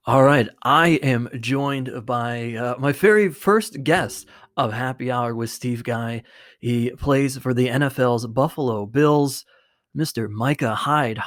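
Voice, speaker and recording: A man's voice: medium pitch, takes it slowly. A man hosting a podcast, talking conversationally as he introduces the show and his guest. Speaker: podcast host. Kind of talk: upbeat show introduction and interview.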